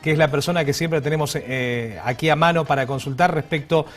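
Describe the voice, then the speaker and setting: A man's voice: fairly high pitch, fairly quick, faint music behind. A man co-hosting a talk show, chatting casually and piecing his phrases together a little hesitantly. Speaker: television co-host. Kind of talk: casual co-host chat previewing segments.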